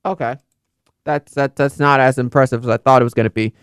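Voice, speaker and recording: A man's voice: mid-range voice, steady tone, fairly quick, clean tone, dry recording, clean studio sound. A young man in casual, unscripted commentary, rambling and correcting himself with many fillers. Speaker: football video host.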